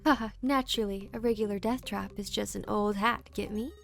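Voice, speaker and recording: A woman's voice: mid-range voice, steady tone, a little fast, creaky, soft background music, natural voice. A woman voicing a smug, flippant male game master in a painting, teasing in slangy drawl. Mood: gleefully taunting.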